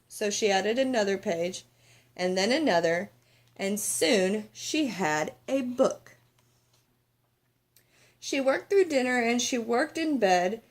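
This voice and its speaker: A woman narrating a children's picture book aloud in an expressive read-aloud style. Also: medium pitch. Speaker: storybook reader.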